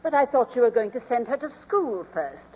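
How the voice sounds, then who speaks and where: A woman's voice: fairly high pitch, very animated, brisk, breathy edge. An actress playing a fretful, tactless old grandmother in a 1940s radio drama. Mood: querulous. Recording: muffled 1940s radio broadcast, reverberant hall.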